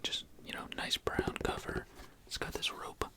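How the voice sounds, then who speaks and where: A man hosting an ASMR video in a soft, unhurried whisper. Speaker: host of an ASMR video channel.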